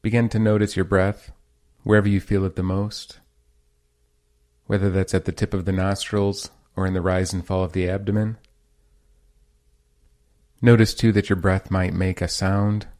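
A man leading a guided meditation as a teacher, speaking in a calm, measured and gently instructive way. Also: low-pitched.

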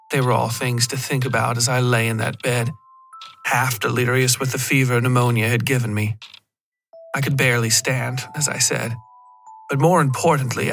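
A man reading a scripted horror story as a podcast narrator, in a measured, literary delivery with deliberate pauses. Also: mid-range voice, fairly bright tone.

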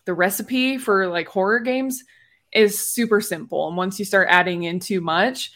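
A woman's voice: medium pitch, moderate pace, a bit bright. A woman chatting informally and playfully as a panelist in a podcast discussion. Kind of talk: casual chat, podcast discussion.

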